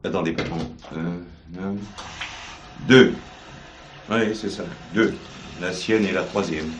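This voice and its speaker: A deferential, sceptical police assistant in a crime play. His low voice sits in an echoey room with faint hiss. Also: fairly even intonation, slow. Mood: deferential, doubtful. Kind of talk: French television theatre, detective drama.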